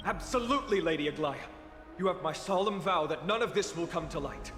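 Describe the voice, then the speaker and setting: High-voiced loyal young guard. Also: male voice, animated, fairly quick, a bit bright. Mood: loyal, earnest. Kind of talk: video game voice acting. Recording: echoey hall reverb, faint music.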